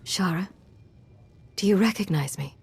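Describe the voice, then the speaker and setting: A woman's voice: fairly low pitch, unhurried, gravelly, husky, heavily acted. An actress playing a composed, loyal female secret agent in a video game, speaking in a controlled, serious and direct manner. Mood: composed, earnest. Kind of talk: cinematic video game dialogue.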